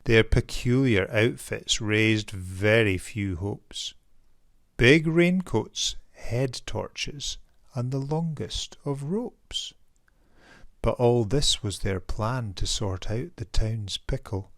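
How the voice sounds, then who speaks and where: A man reading a rhyming children's picture book aloud in a theatrical, measured and emphatic storyteller's manner. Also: mid-range voice, no room sound, clean studio sound. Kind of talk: expressive rhyming storybook narration.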